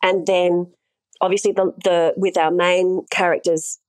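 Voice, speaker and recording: Measured, explanatory woman. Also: on the low side, little pitch movement, smooth, no echo. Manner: measured, explanatory, asks herself rhetorical questions. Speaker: intimacy coordinator guest. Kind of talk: conversational interview answers.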